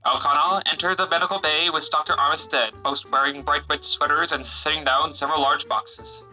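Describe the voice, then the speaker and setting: A man acting as a brisk serial narrator with a slightly high, very bright and forceful voice. He reads quickly and energetically for a sci-fi audio drama. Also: steady tone, faint music behind, low-quality recording.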